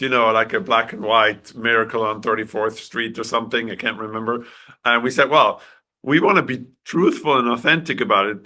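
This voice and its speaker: A man from Switzerland, a film director answering interview questions in a halting way with many restarts, clearing his throat as his voice goes. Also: mid-range voice, bright, forceful tone.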